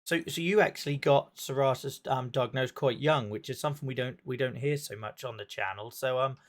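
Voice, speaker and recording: A man's voice: mid-range voice, fairly quick. A man hosting a casual online talk show, chatty and friendly, often restarting his sentences. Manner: chatty, friendly, self-interrupting.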